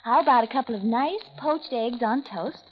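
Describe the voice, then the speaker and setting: A woman's voice: fairly high pitch, moderate pace, lightly acted. An actress playing a cruel, scheming woman who mocks her blinded friend, in 1940s radio acting. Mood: sweetly menacing. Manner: mocking, sugary, cruel. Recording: lo-fi old radio recording.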